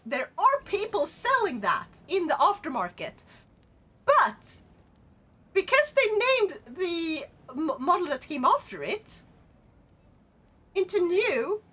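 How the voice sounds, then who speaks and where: A woman with a Swedish accent, streaming and chatting casually with her live chat in a rambling, animated way, laughing often. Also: very high voice. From Sweden.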